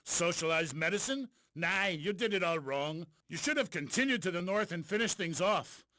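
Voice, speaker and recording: A man's voice: high-pitched, lively intonation, moderate pace. A man voicing a boastful, crude Viking warrior with a put-on old Norse brogue and an archaic, insulting way of talking. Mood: blustering. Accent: put-on old Norse pirate brogue. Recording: loud background noise.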